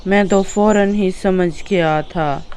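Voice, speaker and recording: A woman voicing a wise male hawk king in a cartoon story, speaking calmly and deliberately. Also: medium pitch, slight background noise, close to an everyday voice. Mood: kind. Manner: calm and deliberate.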